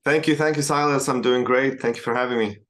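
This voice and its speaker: A man from Syria, a founder answering interview questions in a measured way with frequent hesitations and restarts. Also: mid-range voice, steady tone, fairly bright tone. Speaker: startup founder, guest.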